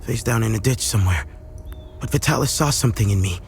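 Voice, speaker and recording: An actor playing an earnest young blacksmith's apprentice with a rough past, speaking plainly in a video game. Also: male voice, on the low side, expressive, quick pace, slight background noise. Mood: reflective.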